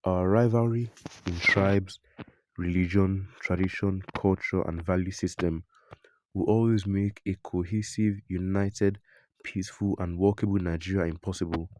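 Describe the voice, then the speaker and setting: A man narrating a news report in a scripted, even read, quoting an interview and reading out public comments. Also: low-pitched, moderate pace.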